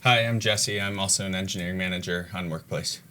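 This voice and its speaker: A man with a very deep, forceful voice answers panel questions as an engineering manager. He talks in a relaxed, rambling way, with frequent ums between his thoughts. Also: brisk, a little hiss or hum.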